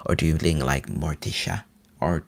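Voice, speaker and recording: A man from Finland, a native Finnish speaker, rambling eagerly in a soft whisper as an ASMR live streamer. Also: a bass voice, expressive, normal speed, creaky.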